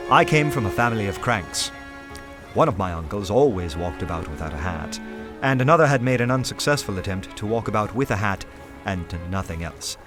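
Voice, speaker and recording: An actor playing a wry, cultured artist turned detective in a radio play, articulate and dryly theatrical. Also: male voice, fairly low pitch, natural voice. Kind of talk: dramatised first-person narration and dialogue. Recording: music or ambient noise behind.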